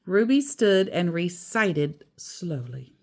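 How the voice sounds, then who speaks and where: Female children's storyteller: animated, melodic read-aloud at a leisurely pace, with casual asides. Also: fairly low pitch, takes it slowly, slight background noise.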